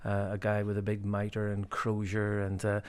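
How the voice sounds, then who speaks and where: A man from Derry with a Northern Irish accent, an archbishop speaking thoughtfully with frequent pauses and fillers. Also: fairly low pitch, completely flat delivery. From Derry, Northern Ireland. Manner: thoughtful, hesitant with many fillers. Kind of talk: conversational joint address.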